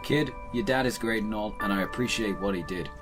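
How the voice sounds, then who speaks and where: An actor playing a weary, reluctant soldier on the run in an audio drama, speaking curtly and dismissively. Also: male voice, fairly low pitch, fairly quick, lightly acted. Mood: dismissive, sardonic. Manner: curt, dismissive. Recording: music playing behind the voice.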